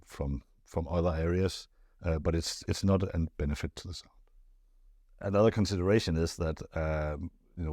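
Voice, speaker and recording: A man with a deep, low voice talks through a technical subject like an expert answering questions. His delivery is casual and thoughtful, often pausing and repeating words as he works out the phrasing. The sound is close and dry, with very little room in it. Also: dark tone, no room sound.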